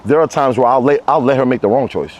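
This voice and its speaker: A man's voice: medium pitch, fast, a little hiss or hum. A man, a parent and guest, talking casually and easygoingly with many affirming fillers. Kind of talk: casual conversation.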